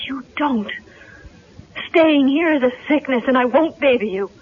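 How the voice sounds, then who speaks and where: An actress playing a strong-willed young grieving daughter, earnest and direct, in a 1970s radio drama. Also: female voice, high-pitched, lively intonation, measured pace. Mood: defiant. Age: young adult. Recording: dull lo-fi vintage radio recording.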